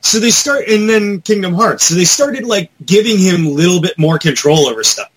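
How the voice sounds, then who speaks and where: A man's voice: high-pitched, expressive, moderate pace, slightly rough, low-quality recording. A man talking casually and with strong opinions as a podcast host in a pop-culture discussion.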